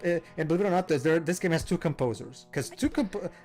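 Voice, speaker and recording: A man's voice: a little high, lively intonation, fast, darkish tone, soft background music. A man hosting a let's play, talking casually and explaining at length with lots of fillers and restarts. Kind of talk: casual gameplay commentary.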